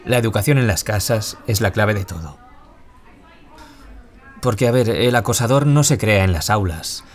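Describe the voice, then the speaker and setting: A man's voice: on the low side, expressive, normal speed, lightly acted. A man with a Peninsular Spanish accent playing an earnest, reflective author who survived bullying, in a scripted radio interview. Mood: reflective, serious. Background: music.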